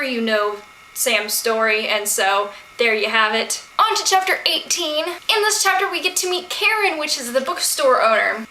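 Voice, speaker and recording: A woman's voice: high-pitched, sharp tone. A woman chatting casually about books as a vlogger, slangy and full of asides and questions to viewers. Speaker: book vlogger. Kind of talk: casual book discussion to camera. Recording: echoey room with faint noise.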